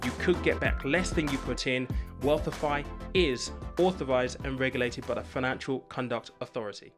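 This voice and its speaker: A man's voice: medium pitch, steady tone, normal speed. Man reading an ad over music. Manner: smooth, scripted delivery. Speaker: YouTube channel host. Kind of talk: read sponsor segment.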